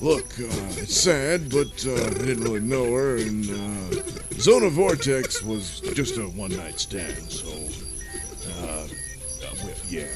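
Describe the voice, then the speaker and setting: Man as callous, weary cartoon doctor of science, halting, animated pitch, music underneath. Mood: awkward, indifferent.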